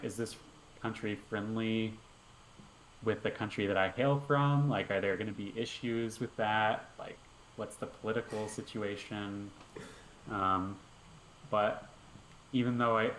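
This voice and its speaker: A man's voice: fairly low pitch, fairly bright tone. A man co-hosting a podcast, chatting casually and upbeat with lots of fillers and restarts. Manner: rambling, filler-heavy, upbeat. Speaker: podcast co-host. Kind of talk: casual chat over a call. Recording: echoey room with faint hiss.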